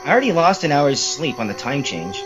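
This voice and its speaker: Casting: male actor as sarcastic, complaining brother for horror audio drama, very lively pitch swings. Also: a little fast, music in the background. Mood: grumbling. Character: teasing, grumbling adult brother, sarcastic and irreverent.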